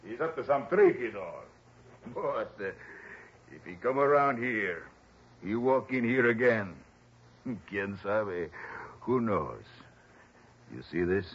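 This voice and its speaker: An actor playing a menacing, smug town boss with a Spanish accent and a mock-friendly manner, in a 1960s radio drama. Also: male voice, fairly low pitch, lively intonation, slow, long pauses, slightly rough, full character voice. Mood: mocking, sly. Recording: dull old radio recording, faint noise.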